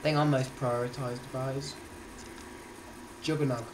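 A man's voice: medium pitch, flat delivery, normal speed. A teenage boy chatting and explaining while playing a game with his girlfriend, in a bossy, correcting way. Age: teens. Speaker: gamer. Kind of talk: casual gameplay chat. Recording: distant, noisy room recording.

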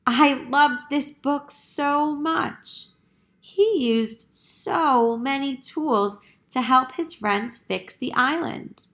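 A woman reading a picture book aloud to young children in a deliberate, emphatic teacher's manner. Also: sits high, vocal fry, a bit bright.

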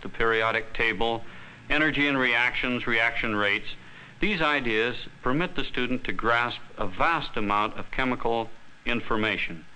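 An American man in his thirties explaining as a professor, measured and deliberate with pauses. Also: medium pitch, pushed and bright, poor audio. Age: 30s. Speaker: professor and textbook editor. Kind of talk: explanatory talk to camera.

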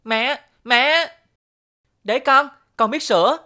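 Earnest, high-voiced little boy. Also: takes it slowly, sharp tone, slight background noise, full character voice. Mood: eager. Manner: earnest, childlike. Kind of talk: animated children's cartoon dubbing.

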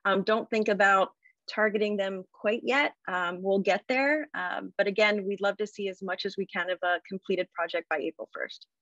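Calm, practical middle-aged woman mentor, dry, slightly muffled call audio. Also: mid-range voice, normal speed, dry recording. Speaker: program mentor answering questions. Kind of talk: casual explanation on a video call.

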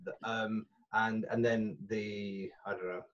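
A man's voice: on the low side, fairly even intonation, slow, fairly bright tone. A man, chatting as a podcast guest over a video call, circling back with many restarts and fillers. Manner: rambling, full of restarts and fillers. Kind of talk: casual podcast chat. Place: small room at home. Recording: muffled video call audio.

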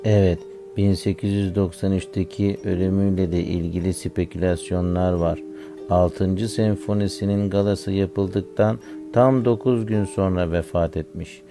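A man's voice: deep voice, fairly even intonation, normal speed, over music. A man voicing an earnest schoolboy pupil who recites facts in a scripted educational cartoon. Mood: earnest, informative.

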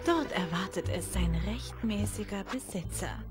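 A sultry casino dealer from a video game, acted by a woman, with a medium-pitched voice. She is teasing and openly flirtatious. Music plays clearly behind her. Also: lively intonation, measured pace, lightly acted.

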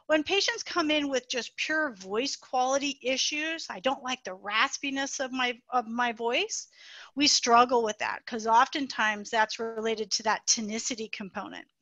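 A woman doctor giving a webinar lecture on the voice, explaining clearly with plain comparisons over a video call. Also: fairly high pitch, moderate pace, sharp tone, dry recording. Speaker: voice doctor presenting.

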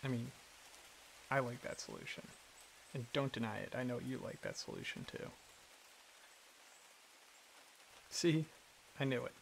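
Casting: man playing a gentle male dragon companion, soft and reassuring, crackly low-key delivery for ASMR roleplay, light hiss behind. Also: huge pitch swings, moderate pace, creaky. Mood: playful, teasing. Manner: soft-spoken, intimate, reassuring. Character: affectionate, caring male dragon friend, gentle and playful. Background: hiss, crickets and water or rain sounds.

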